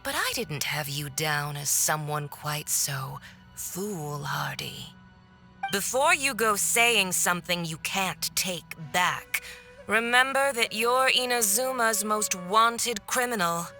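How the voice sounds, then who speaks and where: Mocking game villainess, unhurried, condescending, theatrical, music behind. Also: female voice, medium pitch, expressive, bright, forceful tone, exaggerated voice. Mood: coldly threatening. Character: haughty, mocking female villain, diplomat of a foreign power. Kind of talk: video game cutscene voice acting.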